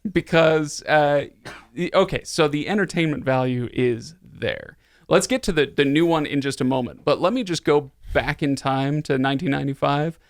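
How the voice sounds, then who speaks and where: A man's voice: fairly high pitch, normal speed, no echo. A man hosting a podcast in casual chat, with jokey, tongue-in-cheek banter. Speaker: podcast host.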